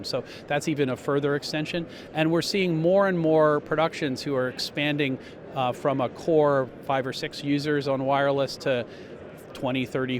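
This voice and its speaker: A man giving a measured, technical explanation as a company spokesman in a trade show interview. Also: a little high. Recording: noisy trade show floor.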